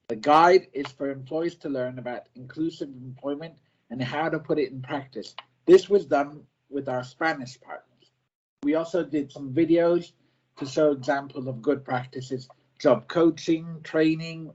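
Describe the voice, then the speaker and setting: A man, a self-advocate working as an easy-to-read editor, giving a prepared presentation in short simple sentences, slow and careful, with some restarts. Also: fairly high pitch, darkish tone, highs cut off. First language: not French; French is a second language.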